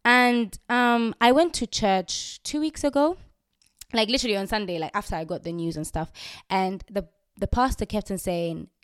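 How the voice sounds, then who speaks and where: A woman's voice: mid-range voice, moderate pace, clean tone, dry recording, studio quality. A young adult woman hosting her own podcast in a casual faith talk, chatty and candid with fillers and frequent laughs; English is not her first language. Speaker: podcast host. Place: indoors, recording herself on video.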